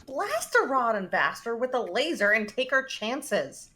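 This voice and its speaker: A woman playing a gruff, blunt, combative spaceship engineer in a sci-fi script read. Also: a little high, big pitch swings, a little slow, bright, forceful tone, a little hiss or hum, heavily acted. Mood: grumbling.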